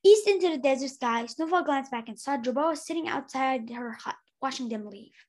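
A woman with a high voice reads a story aloud. Her narration is expressive but unpolished, with occasional stumbles and repeated words. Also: normal speed. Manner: stumbles over words and rereads them. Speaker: storybook reader.

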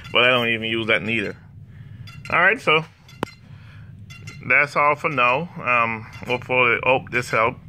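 A man with a Jamaican accent, speaking slowly and haltingly, often stopping to search for the word. His voice is low and gravelly but carries a bright, sharp edge. A faint hiss sits under the recording. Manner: hesitant, many fillers and restarts. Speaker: hobbyist demonstrating drone software. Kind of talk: casual screen walkthrough. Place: indoors, room with a laptop.

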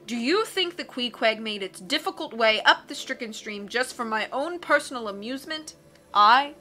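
A woman voicing a loud, excitable male sea captain who fires off rhetorical questions and punctuates them with "aye.". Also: on the high side, moderate pace, soft background music. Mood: blustering.

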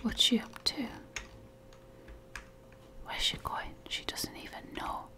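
A woman whispering playful, bossy commentary while playing a life-sim game. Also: takes it slowly. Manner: whispering, wry and bossy toward game characters. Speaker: gamer.

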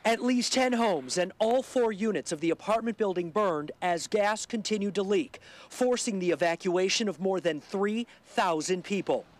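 A man in his thirties reporting live outdoors at night with a handheld mic, brisk and earnest. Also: high voice. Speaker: field reporter. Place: outdoors at night in a residential street.

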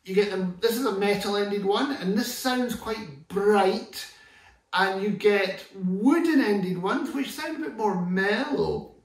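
Scottish man, high voice, chatty teacher, distant mic, roomy living room. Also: slow pace. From Scotland. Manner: chatty, restarts sentences, enthusiastic. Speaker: concertina teacher. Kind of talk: relaxed tutorial explanation. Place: living room, sitting on sofa. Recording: echoey room from far off.

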